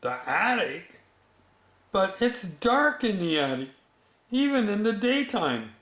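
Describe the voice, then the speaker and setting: A timid little sister bear cub voiced by a man from a storybook, questioning and unsure. Speech is slow and slightly high, recorded in an echoey room. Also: very animated, fairly bright tone, full character voice. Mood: worried, curious.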